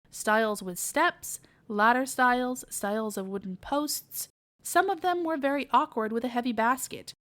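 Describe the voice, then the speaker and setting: A woman narrating a children's storybook as a read-aloud podcast reader. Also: on the high side.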